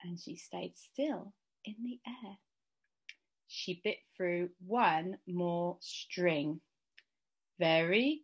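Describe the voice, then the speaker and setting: A woman in her thirties reads a children's storybook. She takes it very slowly, with dramatic pauses and a lively, varied pitch. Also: breathy edge. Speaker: school staff member reading aloud. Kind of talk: expressive children's storybook narration. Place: small indoor room at home.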